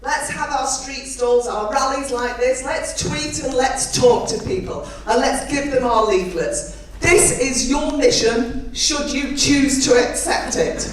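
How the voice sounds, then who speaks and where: A woman's voice: high voice, a little slow, distant mic, a little hiss or hum. A woman politician giving a rousing, exhortative campaign speech at a rally. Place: large indoor hall at a rally. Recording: echoey hall, mic from afar.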